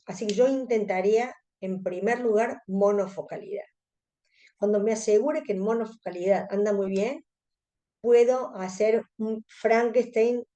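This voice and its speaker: A woman's voice: mid-range voice, dark tone. A woman with a Cordobese accent from Argentina, presenting an educational webinar and explaining things to fellow specialists. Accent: Cordobese Argentine Spanish. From Córdoba, Argentina. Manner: explanatory, addressing colleagues. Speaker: presenter, contact lens specialist.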